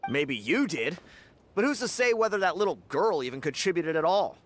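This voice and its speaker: Smug, sneering young warrior. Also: male voice, a little high, big pitch swings, normal speed. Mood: scornful. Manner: sneering, insinuating. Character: smug, spiteful young male warrior, scornful rumor-monger. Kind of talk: English video game voice acting.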